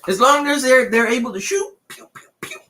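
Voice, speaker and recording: A man co-hosting a casual late-night chat show, talking in a loose, rambling way and pushing his pitch up and down for emphasis. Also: very animated, moderate pace, pushed and bright, slight background noise. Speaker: podcast co-host.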